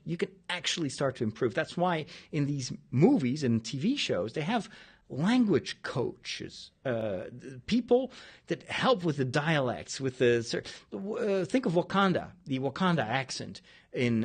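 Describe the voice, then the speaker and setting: A man's voice: a little high, breathy edge. A man with a Dutch accent, a priest hosting a show, talking in a casual, digressive monologue and often searching for words mid-sentence. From Netherlands. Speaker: priest and podcast host.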